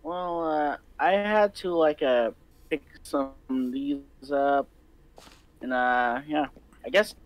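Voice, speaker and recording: Man as easygoing young newcomer, highish voice. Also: slow, no echo, dull audio. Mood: hesitant, easygoing. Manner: hesitant, brief casual replies. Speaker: roleplayer playing a newcomer. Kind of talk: improvised Minecraft anime roleplay. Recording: music behind the voice.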